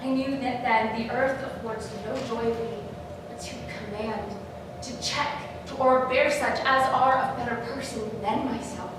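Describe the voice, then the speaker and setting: A woman acts out a haunted, power-hungry female king in a staged Shakespeare soliloquy. The high voice moves with slow, dramatic deliberation. It is heard from a distance in a very echoey space, with clear background noise. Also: fairly even intonation, close to an everyday voice. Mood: defiant, brooding. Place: live staged reading in echoey room. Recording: echoey room, distant mic, noisy.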